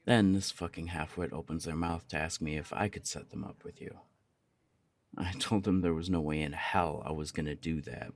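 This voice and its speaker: Man acting a deep-voiced, creaky jealous punk roommate. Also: heavily acted. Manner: confessional, halting, swearing, emotionally worked up. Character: jealous young punk roommate, lovesick, flustered, hot-tempered. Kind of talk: ASMR roleplay audio drama, one side of a dialogue.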